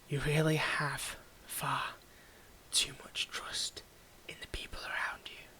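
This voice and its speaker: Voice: mid-range voice, sharp tone, rough recording. An actor playing a cold, condescending tsundere villain in a dramatic audio roleplay, taunting and theatrical as they address the listener directly. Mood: quiet, menacing. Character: cold, mocking tsundere villain, grudgingly tending a wounded hero.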